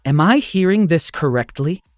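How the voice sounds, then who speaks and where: A man's voice: a little high, expressive, moderate pace, a little mellow, no room sound, clean studio sound, soft background music, close to an everyday voice. A man voicing a mother who is shocked and stern with her son. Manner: stern, disbelieving.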